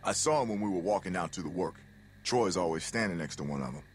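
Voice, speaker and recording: A man's voice: sits low, lively intonation, fast, soft background music. An actor playing a practical, sceptical man among survivors in a dramatic video game, speaking bluntly. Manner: blunt, challenging.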